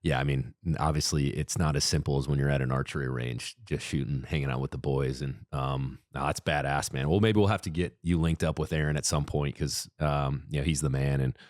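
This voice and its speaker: Podcast co-host, male, very low voice, dry and close, casual with lots of filler. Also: steady tone, fairly quick, few pauses, no room sound. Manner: laid-back, heavy on fillers like um and you know. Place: podcast studio.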